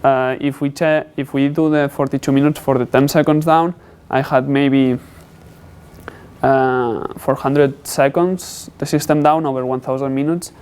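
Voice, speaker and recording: Male student presenter, English, clear smooth voice, medium pitch, explanatory and halting delivery. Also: fairly even intonation, darkish tone. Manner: halting, non-native English phrasing. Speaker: student presenting a capstone project.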